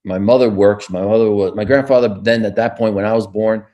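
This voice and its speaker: A man's voice: lowish voice, fairly even intonation, a little fast, dark tone, muffled recording. An older man, recounting his childhood in an oral history interview, with frequent fillers and restarts. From United States.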